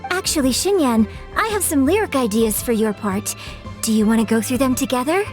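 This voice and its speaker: High-voiced polite young woman. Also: animated, a little fast, faint music behind. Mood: polite, curious. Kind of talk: video game character acting.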